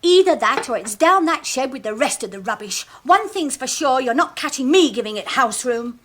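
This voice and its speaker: A scornful, sarcastic housewife. Her voice sits high and her retorts come quick. It is an old radio play. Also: lively intonation, a bit bright. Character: sharp-tongued nagging working-class wife. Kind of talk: radio drama acting.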